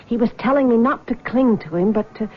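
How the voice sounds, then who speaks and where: An actress playing a troubled, grieving young woman in a vintage radio drama, speaking softly and hesitantly. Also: fairly high pitch, a little fast, lightly acted. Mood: resolved. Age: young adult. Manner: soft, hesitant. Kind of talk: 1970s radio drama acting. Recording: dull old radio broadcast with faint hiss.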